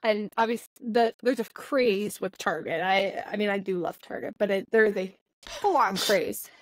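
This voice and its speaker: A woman, a podcast guest in relaxed conversation, chatty and quick to laugh. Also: medium pitch, no room sound. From Michigan, USA. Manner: chatty, laughs often, restarts sentences. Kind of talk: casual chat.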